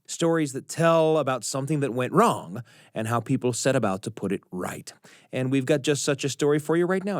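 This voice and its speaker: Male host for story program: lively melodic delivery, mid-pitched, emphatic pauses, close dry studio. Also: no room sound. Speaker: radio show host. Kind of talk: scripted storytelling-show introduction.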